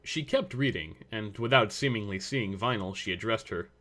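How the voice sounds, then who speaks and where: A man's voice: fairly low pitch, moderate pace, pushed and bright. A man reading scripted story narration as the narrator.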